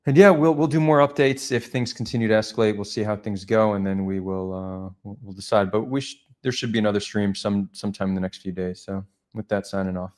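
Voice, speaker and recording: Lowish guy chatting on a livestream, loose and rambly, lots of ums. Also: fairly even intonation, fairly quick, hardly any pauses. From United States. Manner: rambling, many fillers and restarts. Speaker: livestream host. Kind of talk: casual chat.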